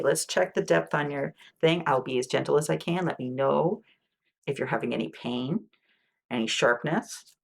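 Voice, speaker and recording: A low-pitched woman with a husky, slightly gravelly voice plays a wound care nurse in an ASMR roleplay. She talks softly and patiently, explaining each step. Between lines she often drops to near-whispered "okay"s. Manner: soft-spoken, patient explanations with frequent "okay"s.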